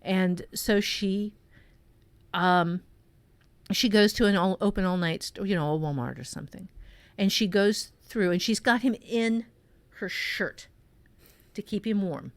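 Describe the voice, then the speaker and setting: A woman improvising a story in a conversational writing lesson, thinking aloud in a halting way with repeated phrases. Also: medium pitch, dry recording. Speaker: author and writing teacher.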